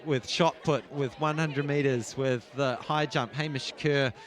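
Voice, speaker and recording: A man with a husky voice co-commentating live athletics, enthusiastic and chatty. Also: mid-range voice, steady tone, unhurried.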